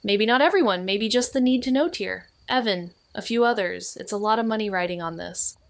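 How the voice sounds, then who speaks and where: Actress for brooding woman sleuth, noir podcast: mid-pitched, understated, measured, very dry studio sound. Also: creaky, no room sound, lightly acted. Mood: guarded. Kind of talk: audio drama dialogue and noir narration.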